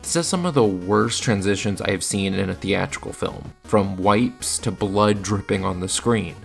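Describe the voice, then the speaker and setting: A man's voice: low-pitched, normal speed, gravelly, over music. A man narrating a scripted video review with casual asides, in a blunt, exasperated manner.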